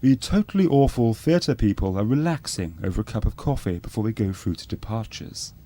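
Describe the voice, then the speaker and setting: Low mellow guy docu-soap narrator, straight-faced, matter of fact. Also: expressive, brisk. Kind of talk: deadpan spoof documentary narration.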